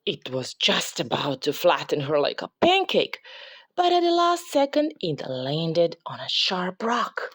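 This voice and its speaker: A woman narrates a picture book for children. She takes her time, with very animated intonation and a dramatic touch. The sound is close and dry. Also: lowish voice, measured pace, no room sound. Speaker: storybook reader. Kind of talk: expressive children's read-aloud narration.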